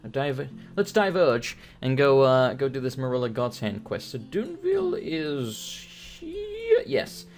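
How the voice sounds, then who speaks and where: A man's voice: medium pitch, unhurried, hardly any pauses, faint music behind. A British man hosting a gameplay video, joking, swearing and telling rambling stories as he plays. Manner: profane, joking, animated storytelling. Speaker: gaming video host. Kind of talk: let's play commentary, rambling anecdotes.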